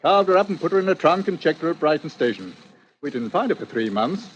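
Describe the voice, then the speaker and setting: An actor playing a proud, chatty chief inspector with a taste for the gruesome, in a vintage radio suspense drama. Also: male voice, a little high, big pitch swings, fast, slight background noise. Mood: genial, boastful. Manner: chatty, hesitant, relishing gruesome detail. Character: proud, genial British police chief inspector with macabre hobby. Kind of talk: 1940s radio suspense acting. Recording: muffled old-time radio recording.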